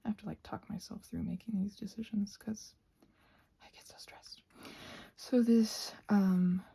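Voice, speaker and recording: Husky, creaky-voiced woman in a soft ramble. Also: medium pitch, fairly even intonation, unhurried. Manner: effusive, wandering, thinking aloud. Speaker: host of a craft ramble ASMR channel. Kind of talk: casual rambling chat while painting; soft-spoken ASMR, often dropping into whispers.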